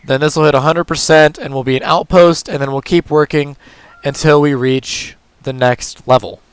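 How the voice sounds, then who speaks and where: A man with a hoarse, tired voice, casually talking through a puzzle game move by move as a gaming channel host. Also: fairly high pitch, moderate pace, dry recording. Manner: relaxed, talks through moves step by step. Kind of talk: casual gameplay commentary.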